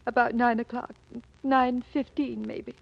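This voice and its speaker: An anxious widow in a 1940s radio mystery, on a muffled, dry old recording. Her voice is high, guarded and hesitant, yet earnest. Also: level tone, unhurried, vocal fry, dry recording. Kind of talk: 1940s radio drama acting.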